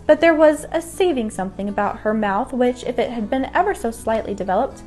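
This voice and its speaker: A woman's voice: a little high, a little mellow. A woman narrating a children's bedtime story from a script. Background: music.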